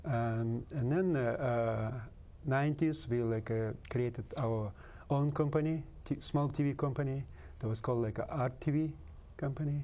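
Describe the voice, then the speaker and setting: A man in his fifties with a Russian accent, a native Kalmyk speaker, answering interview questions haltingly with many pauses and fillers. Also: mid-range voice, warm tone. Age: 50s. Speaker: guest.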